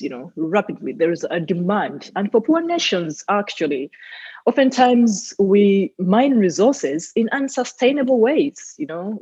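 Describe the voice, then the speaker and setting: A woman's voice: fairly low pitch, a little mellow, slightly rough, no echo, dull audio. A young woman from Uganda with a Ugandan accent, talking earnestly as an interview guest, often adding "you know". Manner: earnest, emphatic, with frequent "you know"s and laughs. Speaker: guest, environmental advocate. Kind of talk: casual interview talk.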